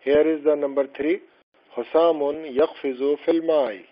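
A man's voice: fairly high pitch, steady tone. Patient male language teacher, lo-fi voice-over. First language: not Arabic. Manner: slow, patient explaining with many fillers and restarts. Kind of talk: explaining a textbook lesson. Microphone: voice-over over slides. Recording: dull lo-fi voice-over.

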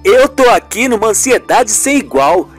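Woman acting a high-voiced, sensitive young nephew. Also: lively intonation, moderate pace, soft background music. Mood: anxious. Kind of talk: amateur radio soap acting.

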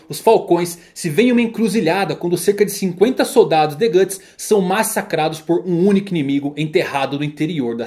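A man's voice: on the high side, a little mellow. A man with a Brazilian accent reading a scripted, dramatic story narration. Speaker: video narrator. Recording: music behind the voice.

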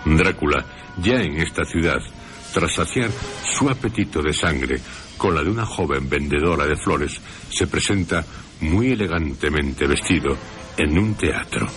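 An extremely deep male voice hosts and narrates a radio drama. He speaks solemnly, leaving deliberate pauses. Music plays clearly behind him. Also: expressive, fairly bright tone.

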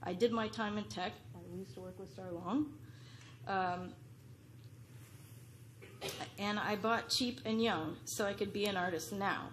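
A woman's voice: mid-range voice, a little hiss or hum, rough recording. A middle-aged woman reads an earnest, pleading prepared statement as a public commenter at a podium microphone in a large council chamber. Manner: earnest, pleading, read from notes.

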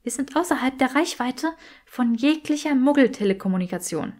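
A brainy young witch in a fantasy audiobook, voiced by a woman reader. She speaks high, in a precise and matter-of-fact way, choosing her words articulately. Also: expressive, brisk, a little mellow. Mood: matter-of-fact, tired.

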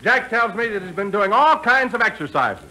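A man's voice: high voice, sing-song, moderate pace, pushed and bright, low-quality recording. A man working as announcer and sidekick on a 1940s radio comedy show.

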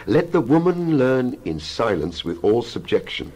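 A man giving a dramatized scripture reading as a Bible narrator, solemn and emphatic, with measured oratorical phrasing. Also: mid-range voice, very animated, a little mellow, breathy edge. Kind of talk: dramatized scripture reading, King James English.

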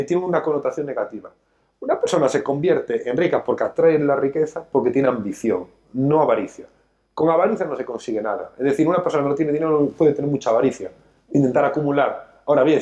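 A man's voice: mid-range voice, warm tone, dull audio. Guy in his 30s, talk show studio, preaching his point hard, gesturing a lot. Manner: emphatic, lecturing, gestures a lot while talking. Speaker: financial educator, show host. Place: studio set, seated on a sofa.

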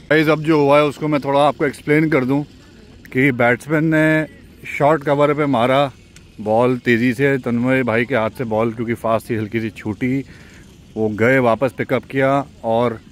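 Chatty vlogger explaining a cricket match. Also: male voice, mid-range voice, a little hiss or hum. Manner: chatty, mixes English into Hindi, explains plays. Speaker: vlogger and amateur cricket player. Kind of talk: casual match-day vlog narration. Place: outdoor cricket ground.

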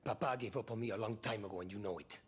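A man's voice: lowish voice, rapid-fire, slight background noise, close to an everyday voice. An actor playing a sullen young man in a video game, dismissive and bitter toward his father. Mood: resentful. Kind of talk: video game cutscene dialogue.

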